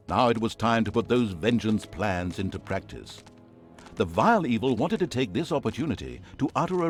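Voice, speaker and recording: Male storyteller for comedy fantasy game: mock-grandiose, dramatic, highly varied intonation over a musical bed. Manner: theatrical, wry, mock-grandiose. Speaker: game narrator. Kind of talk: scripted comedic fantasy narration. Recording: music behind the voice.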